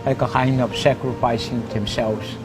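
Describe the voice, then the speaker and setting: A man's voice: mid-range voice, fairly even intonation, normal speed, rough recording. A man from Kiribati with a Kiribati accent, a priest giving a personal testimony, often tagging phrases with "eh?". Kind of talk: personal testimony to camera in a mission film. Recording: music bed behind the voice.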